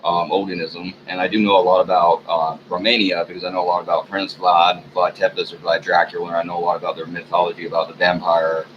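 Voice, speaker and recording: A man with a very deep voice rambles through a sleepy, unscripted monologue at home, stopping often for ums and lost words. The recording sounds dull and muffled, with a clear hiss under it. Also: flat delivery, fast talker, few pauses. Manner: meandering, frequent ums, sleepy and low-key. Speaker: vlogger. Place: room at home.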